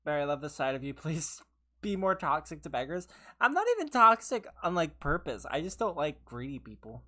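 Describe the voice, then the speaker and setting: A woman This is a low, tired voice that is starting to wear thin. She streams a game from her own room and chats with viewers, laughing often. She teases them playfully, and her pitch jumps around a lot. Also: very animated, a little fast, fairly bright tone. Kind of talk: casual live gameplay commentary with chat.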